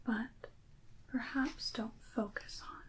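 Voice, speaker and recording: A woman playing a serene, regal priestess from a tarot deck, in a breathy, mostly whispered, stately ASMR roleplay delivery. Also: a little high, steady tone, poor audio. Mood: serene, mysterious. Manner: stately, deliberate, mysterious.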